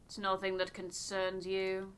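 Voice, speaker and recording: A woman's voice: mid-range voice, completely flat delivery, measured pace, a bit bright, clean tone, lightly acted. A woman voicing a nosy, aloof expedition official in a game, stiff and formal. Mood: defensive.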